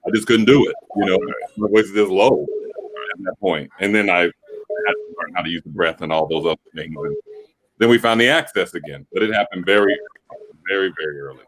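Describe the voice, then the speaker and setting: A man who sings bass, recalling things plainly in a casual chat. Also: fairly quick, a bit bright, poor audio. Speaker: opera singer, bass.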